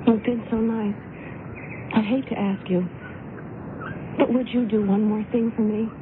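Woman acting innocent young female, sentimental and shy, polite earnest delivery, highish voice, 1970s radio drama, noisy broadcast. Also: steady tone, a little slow, phone-quality sound, natural voice.

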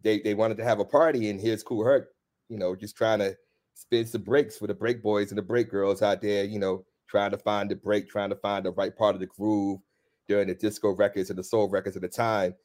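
A man's voice: on the low side, little pitch movement, a little fast, darkish tone. A man from Washington, D.C., a hip-hop journalist leading an easygoing casual conversation. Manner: easygoing, leads the talk with frequent fillers.

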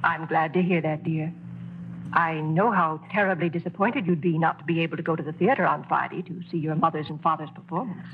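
An actress playing a kindly, dignified headmistress in a 1940s radio drama. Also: female voice, low-pitched, steady tone, a little hiss or hum. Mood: gracious. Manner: gracious, measured. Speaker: school head. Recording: dull old radio broadcast recording.

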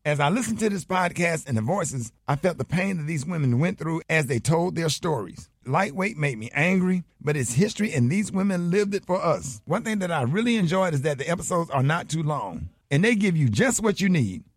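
Male morning radio host, Southern US accent: high, husky voice, lively inflection, casual delivery. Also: fairly quick, hardly any pauses, no echo, studio quality. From Birmingham, Alabama, USA. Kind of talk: casual radio banter and personal ad reads.

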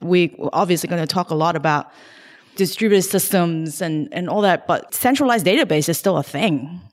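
Low-voiced woman. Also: moderate pace, in a large hall. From Hong Kong. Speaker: guest investor. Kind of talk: casual podcast interview.

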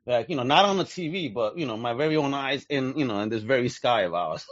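A man's voice: medium pitch, lively intonation, quick pace, muffled recording. A man hosting a casual video call chat, enthusiastic, laughing often and filling his talk with "you know". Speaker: host.

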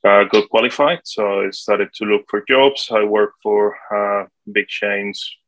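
A man in his forties from Colombia, speaking English with a Colombian Spanish accent, answering interview questions in a halting way with many fillers. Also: on the low side, a bit bright, no room sound, poor audio. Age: 40s. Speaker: guest, property manager.

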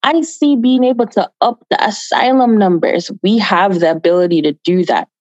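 A woman's voice: mid-range voice, moderate pace, a little mellow, no echo, muffled recording. A woman from Jamaica giving earnest, emphatic answers as an activist guest in an interview, repeating phrases for stress.

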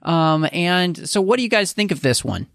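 A man's voice: sits high, big pitch swings, normal speed, creaky, a bit bright, dry recording, clean studio sound. A man hosting a casual panel podcast, speaking in an enthusiastic, emphatic way. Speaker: podcast host.

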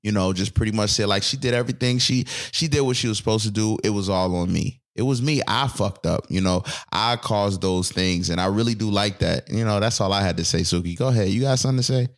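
A man with a deep voice hosting a casual podcast chat, rambling with lots of fillers and restarts. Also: fast talker, few pauses. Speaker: podcast host.

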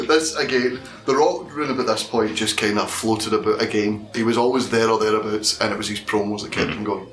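A man's voice: fairly low pitch, fairly even intonation, normal speed, a bit bright, echoey room. A man co-hosting a podcast, chatting casually and laughing often. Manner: laughs often while talking. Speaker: podcast co-host. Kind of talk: casual chat.

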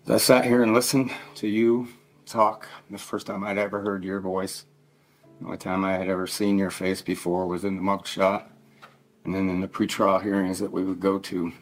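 A middle-aged man giving a solemn victim impact statement in halting, emotional phrases, grieving and addressing someone directly. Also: sits low, flat delivery. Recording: faint music laid under the voice. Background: faint plucked guitar music.

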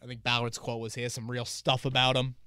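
A man's voice: mid-range voice, completely flat delivery, fast, sharp tone, clean tone, no echo, clean studio sound. A man hosting a casual sports podcast, talking in a chatty, rambling way with fillers and restarts. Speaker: podcast host and team reporter.

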